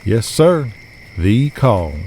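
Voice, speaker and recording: A man's voice: mid-range voice, sing-song, drawn-out words, darkish tone, slight background noise. A man playing a folksy, teasing country wrestling manager with a Southern US accent and a drawling, colorful way of talking, in an audio drama. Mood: grave. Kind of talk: audio drama character acting.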